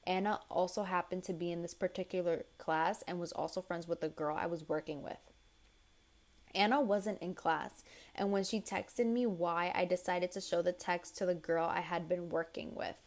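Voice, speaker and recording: Tired young woman podcaster, kinda low raspy voice, blunt, swears a lot, reading listener stories. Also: steady tone, moderate pace. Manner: blunt, chatty, swears freely. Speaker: podcast host. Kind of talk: casual advice podcast, reading stories aloud.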